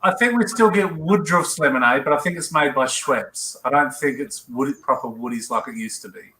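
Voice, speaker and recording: An Australian homesteader on a group video call, telling stories in a lively, mock-exasperated way. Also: male voice, medium pitch, brisk. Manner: animated storyteller, mock-exasperated rants. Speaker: homesteader guest. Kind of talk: casual group video chat. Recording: echoey room with faint noise.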